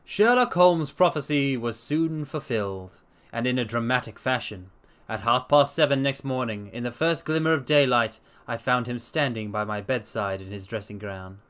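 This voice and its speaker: A man giving an expressive dramatic reading of a story to viewers, with casual asides. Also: mid-range voice, moderate pace, hardly any pauses, clean tone. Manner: expressive, animated.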